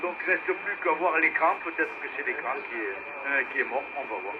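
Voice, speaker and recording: A man running an amateur radio net in a casual, halting way, heard over a two-way radio. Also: a little high, animated. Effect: two-way radio transmission. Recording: noisy narrowband ham radio relay.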